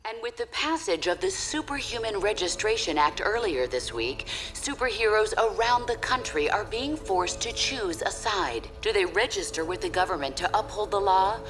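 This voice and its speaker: A grave, polished TV news anchor for a game. Her mid-pitched reporting is formal, with faint music behind. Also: sing-song, moderate pace, few pauses, fairly bright tone. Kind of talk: news reading in game cutscene.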